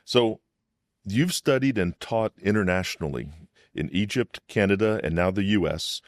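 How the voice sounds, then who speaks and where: A man hosting an interview, asking questions in a measured, encouraging way. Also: fairly low pitch, dry recording, clean studio sound. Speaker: podcast host, professor.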